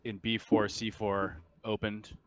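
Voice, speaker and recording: A man running a tabletop roleplaying game as game master, with a crisp, clear voice. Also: fairly low pitch, completely flat delivery, a little slow, fairly bright tone, a little hiss or hum.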